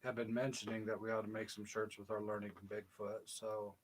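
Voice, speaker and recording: A soft-spoken man co-hosting a casual livestream chat, talking quietly and a little away from a shared microphone. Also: lowish voice, level tone, brisk, a little hiss or hum. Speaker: co-host. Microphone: shared desk mic, off-axis.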